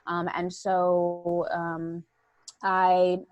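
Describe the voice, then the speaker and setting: A woman actor and playwright chatting as a guest on a video call, laughing often and filling pauses with ums. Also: on the low side, monotone, darkish tone, dry recording, dull audio. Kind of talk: casual video-call conversation.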